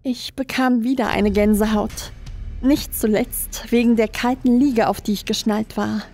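A woman's voice: on the high side, steady tone, a little slow, warm tone. A woman reading scripted first-person horror narration as a creepypasta narrator. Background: background music.

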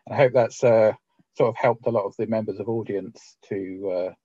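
A man's voice: lowish voice, fairly even intonation, a little fast, warm tone, no echo. A middle-aged man hosting an online talk, thanking the speaker in an effusive, hesitant way over a video call. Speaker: host. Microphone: webcam on video call. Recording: dry, muffled webcam audio.

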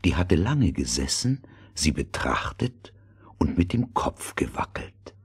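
A man's voice: deep voice, sing-song, slow pace, slightly rough. A man narrating a fairy tale in a scripted, storytelling style.

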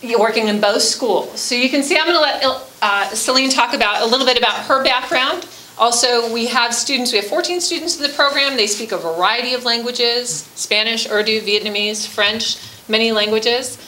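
A woman's voice: fairly high pitch, normal speed, hardly any pauses, bright, forceful tone. A woman in her forties giving an explanatory presentation on a school program to a meeting. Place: school room at a committee meeting, indoors. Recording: echoey room, faint background noise.

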